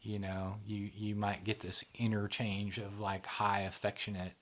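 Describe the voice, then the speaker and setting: A man's voice: lowish voice, completely flat delivery, a little slow, fairly bright tone. Middle-aged man who keeps saying "right?". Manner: rambling, fills with "right?" and "you know". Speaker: show founder and host. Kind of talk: casual explanatory conversation.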